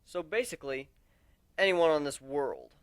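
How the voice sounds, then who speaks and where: A man narrating a dramatic first-person story in an expressive, conversational way. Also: fairly high pitch, normal speed, clean tone, no echo, clean studio sound.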